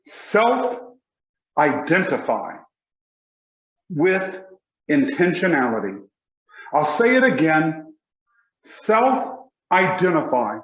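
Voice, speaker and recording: A man, giving a motivational speech with emphatic, deliberate pauses. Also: on the high side, a bit bright, reverberant, lo-fi sound. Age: 40s. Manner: emphatic, slow deliberate delivery with dramatic pauses. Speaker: motivational speaker.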